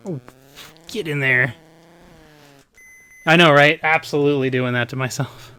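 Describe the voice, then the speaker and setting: Upbeat male streamer around 40, voice a touch hoarse and pitched on the high side; live gameplay chatter. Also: steady tone, measured pace, pushed and bright. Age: 40s. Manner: upbeat, chatty, thanks viewers while concentrating. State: slightly hoarse. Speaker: speedrun streamer.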